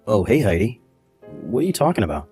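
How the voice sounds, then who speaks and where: A man playing a casual, easily astonished gossip with a husky, rough voice in a dramatized story. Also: lowish voice, very animated, a little fast, mellow, faint music behind. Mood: casual curiosity. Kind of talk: dramatized text-message story voice acting.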